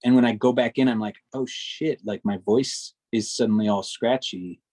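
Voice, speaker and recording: A man who narrates audiobooks, talking in an easy, rambling way at a middle pitch. He restarts his sentences as he goes, and his voice sounds close and dry with no room around it. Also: normal speed, dry recording. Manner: relaxed, rambling, self-correcting. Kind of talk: casual video chat.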